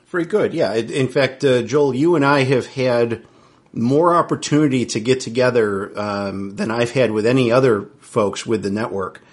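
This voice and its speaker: A man's voice: medium pitch, normal speed. A man from Central New York hosting a podcast interview, friendly and chatty, often repeating words as he starts a sentence. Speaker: podcast host. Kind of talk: casual interview chat.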